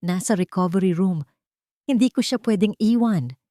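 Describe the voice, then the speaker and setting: A woman's voice: mid-range voice, normal speed, mellow, no echo, studio quality, lightly acted. A woman voicing a desperate, wronged wife and mother in an audio drama, pleading in a breaking manner. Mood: anxious.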